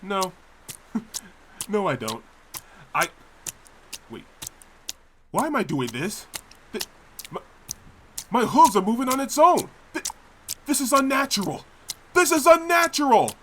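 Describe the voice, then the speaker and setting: A sarcastic, whiny male pony played by an actor in a comedy audio drama. He protests in exasperated, stammering bursts with a very lively pitch and drawn-out pacing, over noticeable background noise. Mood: nervous disbelief. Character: sarcastic, panicky male cartoon pony, reluctant hero.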